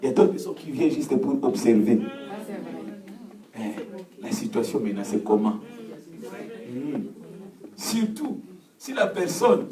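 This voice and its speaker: A man preaching with call and response in a church hall, fervent and repetitive, often shouting. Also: mid-range voice, sing-song, unhurried, long pauses, a crowd in the background. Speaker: pastor. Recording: echoey hall, distant mic, congregation noise. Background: congregation answering.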